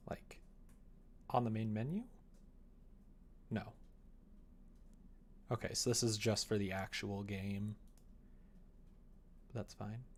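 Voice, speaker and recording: Creaky, low-voiced young man thinking aloud. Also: slight background noise. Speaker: livestreamer developing a visual novel. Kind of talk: casual livestream chat while coding, thinking aloud. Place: indoor room at a computer.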